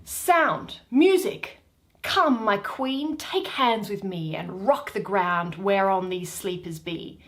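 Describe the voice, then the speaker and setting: A woman voicing a tender, commanding male fairy king in measured, declamatory Shakespearean verse. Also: mid-range voice, a bit bright, pitched below the performer's own voice.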